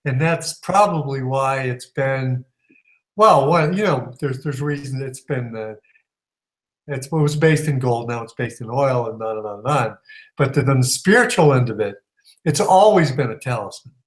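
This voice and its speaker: Hesitant man, mid-pitched voice. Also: normal speed. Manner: hesitant, many "uh"s, speculative. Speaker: guest. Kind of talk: conversational talk show.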